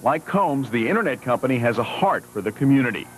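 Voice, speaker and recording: A man's voice: mid-range voice, expressive, fast talker, a bit bright. A man reading a scripted television news report as a reporter's voice-over. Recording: slightly noisy old TV news tape.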